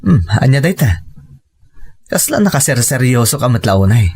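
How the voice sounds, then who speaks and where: A man's voice: medium pitch, big pitch swings, moderate pace, a little mellow, slightly rough. A man playing an earnest, devoted suitor with a wounded streak in a melodramatic Ilocano radio drama. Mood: puzzled.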